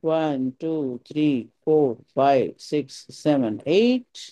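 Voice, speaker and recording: Slow, mellow meditation teacher with soft-edged tone. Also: mid-range voice, no room sound. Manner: calm, soothing instructions in short pausing phrases. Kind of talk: guided meditation instruction.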